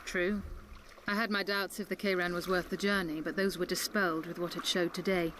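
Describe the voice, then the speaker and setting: An actress playing a shrewd, self-assured sorceress in a video game, with an imperious and wry manner. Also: female voice, fairly low pitch, level tone, fairly quick, lightly acted. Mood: wry, teasing. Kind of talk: video game cutscene acting. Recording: game dialogue over clear water noise.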